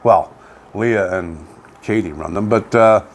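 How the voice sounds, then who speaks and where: A man's voice: lowish voice, slow pace, slight background noise. A man with a Canadian accent co-hosting a local online radio show in a casual, chatty style. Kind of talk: casual community chat. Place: home studio.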